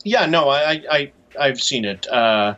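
A man speaking as an author being interviewed, in a halting, thoughtful, conversational way. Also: mid-range voice, expressive, a bit bright. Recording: dull, muffled lo-fi audio.

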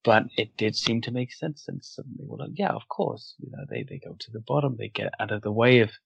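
A man who grew up in Wales, without a Welsh accent, talking as a guest in a casual interview and pausing thoughtfully to find his words. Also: fairly low pitch, vocal fry, breathy edge, dry recording. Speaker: guest author. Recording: dull, dry lo-fi audio.

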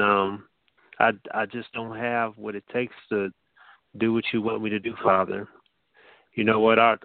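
Low-voiced man preaching over a tinny line. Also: clean tone, dry recording. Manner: conversational, halting, with many fillers and restarts. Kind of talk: informal sermon. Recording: dry, tinny phone-like sound.

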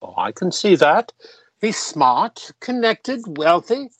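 A pompous Victorian politician played by a male actor speaks slowly, his pitch swooping widely. He is jovial and lecherous, flirting theatrically as in a radio play, on a dry close recording. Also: big pitch swings, fairly bright tone, breathy edge, no room sound, heavily acted. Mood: smug, flirtatious.

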